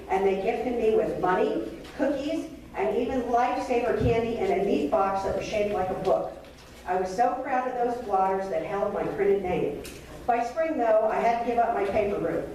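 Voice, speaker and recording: An older woman from Ohio, with a voice pitched a little high, reads a childhood story aloud in a nostalgic way. She sounds far from the mic in a very echoey hall, with faint hiss behind her. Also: a little mellow. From Columbus, Ohio. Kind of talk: reading a personal memoir story aloud. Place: meeting hall.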